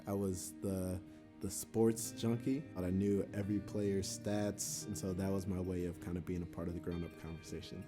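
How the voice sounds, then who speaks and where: A man telling his childhood story in an unhurried way as a student in a promotional video. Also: deep voice, little pitch movement. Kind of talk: personal testimonial. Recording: voice over guitar music bed.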